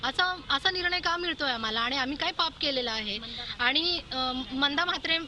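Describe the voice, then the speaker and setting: A woman's voice: sits high, moderate pace, pushed and bright, a little hiss or hum. A woman, a local resident giving an aggrieved, questioning interview on location. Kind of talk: spontaneous street interview.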